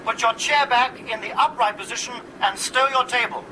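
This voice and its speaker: A man's voice: very high voice, normal speed, background noise. A man acting a firm cabin steward in a radio drama, giving formal, instructional announcements. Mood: firm, urgent. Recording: busy aircraft cabin ambience.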